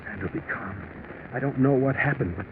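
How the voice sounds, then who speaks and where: A man's voice: medium pitch, brisk, phone-quality sound, lightly acted. An actor playing a shaken, urgent businessman in love with his partner's wife, in 1940s radio mystery style. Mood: shocked, soothing. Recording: scratchy band-limited 1940s radio recording.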